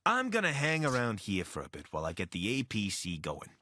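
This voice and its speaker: An actor playing an anxious, wry deserter soldier and pilot in a video game, speaking in a conversational, earnest way. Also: male voice, fairly low pitch, wildly swooping pitch, fairly quick, sharp tone, full character voice. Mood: easygoing. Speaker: voice actor for a companion character. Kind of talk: video game character dialogue.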